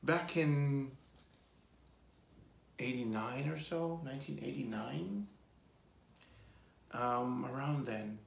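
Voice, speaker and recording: Gravelly man in his forties. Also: medium pitch, slow pace, long pauses, a little hiss or hum. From Jamaica. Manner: rambling lists, relaxed and reflective. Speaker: artist being interviewed. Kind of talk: casual storytelling interview. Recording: echoey room.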